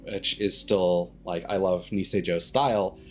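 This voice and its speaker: A man hosting a livestream in casual chat, rambling and haltingly backtracking mid-sentence. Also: deep voice, fairly even intonation, slight background noise. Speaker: streamer host. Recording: music playing behind the voice. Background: background music.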